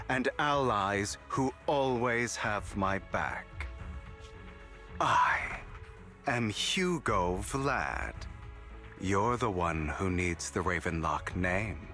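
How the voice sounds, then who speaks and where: Smooth showy con man in a game, slow and dramatic, bright tone. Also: fairly low pitch, lively intonation, soft background music, heavily acted. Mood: theatrical. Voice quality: smooth, dramatic, sometimes whispering. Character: suave theatrical male master con artist. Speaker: voice actor. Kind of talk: game cutscene acting.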